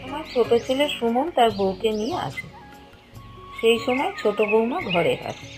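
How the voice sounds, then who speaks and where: A woman tells a cartoon moral story as a scripted narrator. Her voice sits fairly high, with music clearly audible behind it. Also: expressive, moderate pace.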